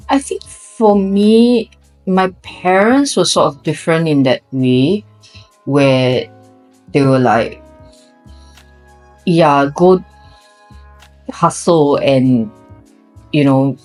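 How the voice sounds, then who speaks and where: A young woman from Singapore with a Singaporean English accent, chatting in a relaxed, self-deprecating way on a podcast. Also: very deep voice, low-quality recording. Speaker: podcast co-host. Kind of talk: casual podcast chat.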